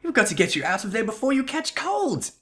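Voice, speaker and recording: Man putting on a chirpy, bright young woman. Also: very high-pitched, huge pitch swings, a little fast, pitched above the performer's own voice, full character voice. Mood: coaxing. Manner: eager, pleading, exclamatory. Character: earnest, chirpy young female inspector's assistant. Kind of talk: melodramatic game dialogue reading. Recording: music behind the voice.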